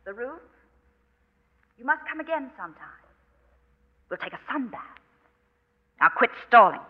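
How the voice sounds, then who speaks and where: Cold noir killer woman, really high voice, curt and demanding, tinny old radio show sound. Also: expressive, a little slow. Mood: cool, menacing. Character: cold, evasive female killer, noir femme fatale. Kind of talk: 1940s radio noir acting. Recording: tinny 1940s radio broadcast recording.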